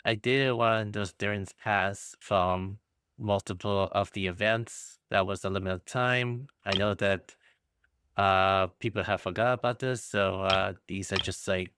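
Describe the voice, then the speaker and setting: A man giving casual, halting, rambling livestream commentary as a game streamer. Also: fairly low pitch, fairly bright tone, slight background noise.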